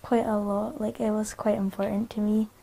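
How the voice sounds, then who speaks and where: A woman's voice: medium pitch, level tone, moderate pace, gravelly, warm tone, husky. A thirteen-year-old girl answering interview questions in a hesitant, shy way. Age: teens.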